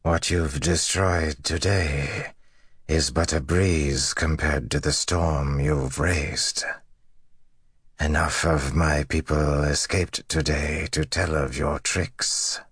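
A man voicing a dying, defeated general in an audiobook, weary and grimly admiring, speaking in a halting, weakened way. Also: rumbling low, steady tone, fairly bright tone, breathy edge, dry recording, clean studio sound, exaggerated voice. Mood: grim admiration. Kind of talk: audiobook character dialogue.

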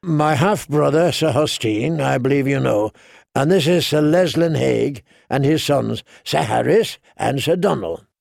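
A man acts out a gracious nobleman in a fantasy audiobook. He is courteous and deferential, with gravelly creak, in a very dry recording. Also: medium pitch, animated, measured pace, darkish tone, no room sound, full character voice. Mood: welcoming. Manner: polite, smooth-mannered, deferential. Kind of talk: audiobook character dialogue.

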